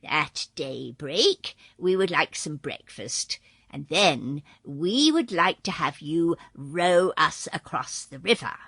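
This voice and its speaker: A woman voicing a polite, dignified male frog-man in a storybook reading, formal and measured. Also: deep voice, lively intonation, fairly bright tone, breathy edge, dry recording, studio quality, voice pushed down, full character voice.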